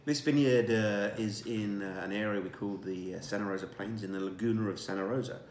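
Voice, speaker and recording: Relaxed older winemaker in an echoey room. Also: male voice, on the low side, fairly quick, a little hiss or hum. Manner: relaxed, savoring, many fillers and restarts. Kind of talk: casual wine tasting presentation. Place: indoor dining room at a table.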